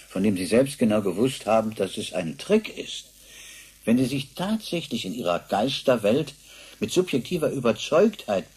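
An actor playing a sceptical, pedantic scientist lecturing deliberately and emphatically in a radio play, with a husky, rough voice. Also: male voice, medium pitch, a little mellow, full character voice. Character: pondering rationalist male scientist, lecturing, sceptical. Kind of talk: German radio play acting, lecture-like monologue.

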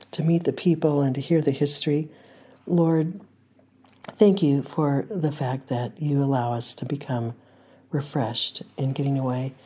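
An older woman hosting a devotional podcast, speaking in a hoarse voice, gentle and earnest, with frequent pauses as she teaches and prays. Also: low-pitched. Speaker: podcast host. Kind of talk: devotional talk and prayer.

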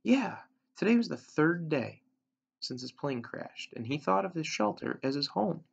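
A man in his thirties with a gravelly, husky voice reads a story aloud, recorded on a webcam in a room at home. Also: a little high, very animated, unhurried. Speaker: teacher reading aloud. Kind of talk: storybook read-aloud narration. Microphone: computer webcam at arm's length. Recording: dull lo-fi webcam sound.

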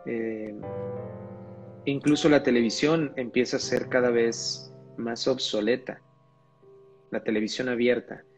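A man's voice: medium pitch, fairly even intonation, measured pace, long pauses, soft background music. A man as guest in an online conversation, talking enthusiastically and repeating his phrases. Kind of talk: casual live-stream conversation.

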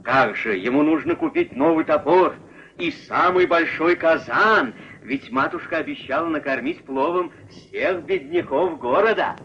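A man narrating a fairy tale in an expressive, theatrical storybook manner. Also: on the high side, unhurried, slight background noise. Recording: dull vintage film soundtrack, music behind.

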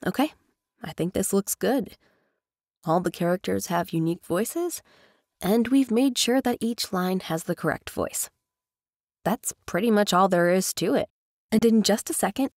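A woman giving a casual, chatty software tutorial voice-over as host. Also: medium pitch, no room sound, studio quality. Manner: informal, chatty. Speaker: tutorial host, professional narrator.

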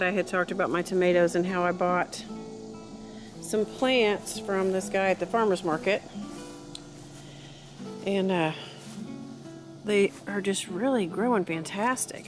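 Cheerful homesteading woman, casual vlog voice, outdoor phone recording with guitar behind. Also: mid-range voice. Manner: chatty, cheerful, thinks aloud. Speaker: homesteader vlogger. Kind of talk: casual walking garden tour. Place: outdoors in a backyard garden. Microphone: phone camera held while walking. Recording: guitar music underneath.

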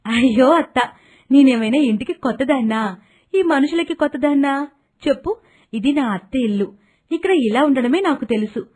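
A woman's voice: sits high, expressive, moderate pace, pauses often, darkish tone. A woman playing a clever, confident young village bride who speaks with familiar self-assurance. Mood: cheerful, confident.

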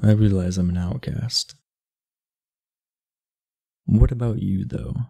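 Man acting deep, gravelly teenage class delinquent. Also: takes it slowly, warm tone, full character voice. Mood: guarded, weary, then casual, curious. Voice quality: gravelly, husky. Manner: laid-back, blunt, confiding. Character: aloof, muscular, blunt teenage class delinquent, secretly earnest. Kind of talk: intimate ASMR roleplay acting.

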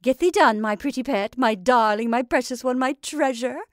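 Woman playing an old witch, high sharp tone, doting, coaxing, archaic speech, dry close sound. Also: moderate pace, no room sound, close to an everyday voice.

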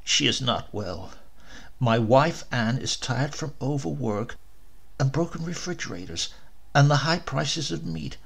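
Guy reading as a worn out husband in a horror story, strained, bright creaky voice. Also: medium pitch, lively intonation, unhurried, full character voice. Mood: forced calm. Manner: strained, weary. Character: Will, wretched husband at the end of his patience.

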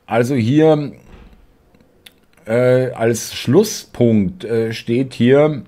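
A man's voice: medium pitch, extremely slow, warm tone. A man in his forties giving sarcastic political commentary while reading news articles aloud from his desk, full of hesitations.

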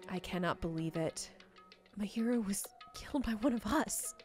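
A woman hosting a let's play, chatting casually and reading game dialogue aloud in an expressive, conversational way. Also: mid-range voice, moderate pace. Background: game music.

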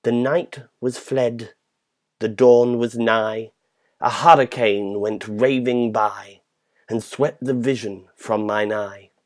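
Slow, theatrical man reciting poetry. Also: medium pitch, steady tone. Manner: theatrical, measured delivery. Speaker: poetry reader.

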